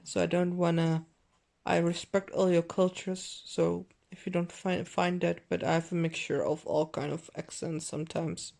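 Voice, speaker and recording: Vlogger woman, gravelly fry, dry throat, meandering chatter, close handheld camera in a living room. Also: lowish voice, level tone, darkish tone. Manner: rambling, self-correcting, non-native phrasing; deliberately overdone mixed accent. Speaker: fashion vlogger. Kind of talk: casual unboxing haul chat.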